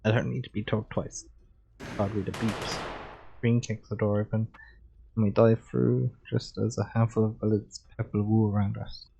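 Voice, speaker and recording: A man livestreaming a game and reading visual novel narration aloud in a relaxed, chilled manner. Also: fairly low pitch, moderate pace, gravelly, a little hiss or hum, poor audio. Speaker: game streamer.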